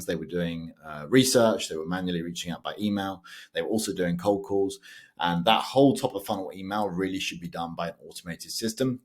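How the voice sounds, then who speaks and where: British man, very low voice, young adult, casual explainer talk, fillers and restarts. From United Kingdom. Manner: loose and conversational, with frequent fillers and self-corrections. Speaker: sales automation consultant.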